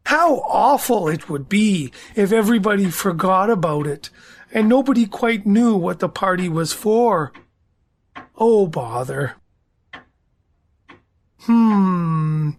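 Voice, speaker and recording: A woman's voice: medium pitch, lively intonation, slow, pauses often, darkish tone, slight background noise. An actor, a woman, playing a naive, self-important, food-loving bear in a children's audio drama, musing in a hesitant singsong. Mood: hopeful, anxious.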